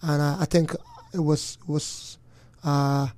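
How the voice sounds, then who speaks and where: Guest: man, thirties, earnest and reflective, mellow tone, dry close sound. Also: fairly high pitch, flat delivery, slow pace, no echo. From Trinidad and Tobago. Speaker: guest, former player and coach. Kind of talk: casual interview chat.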